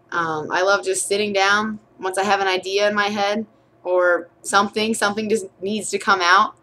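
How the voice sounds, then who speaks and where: A woman's voice: lowish voice, a bit bright, slight background noise. A young woman, a college student, talking candidly in a casual video diary about her writing, chatty with frequent fillers and restarts. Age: young adult.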